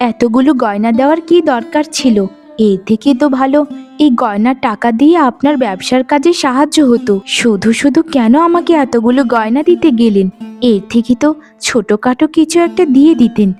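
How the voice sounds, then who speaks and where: Woman playing sweet-natured young cartoon bride, very high voice, meek, obedient, music behind. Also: lively intonation, fairly quick. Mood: shy, pleased. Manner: meek, soft-hearted, deferential. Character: gentle, obedient, simple-hearted young twin bride. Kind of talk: animated moral-tale voice acting.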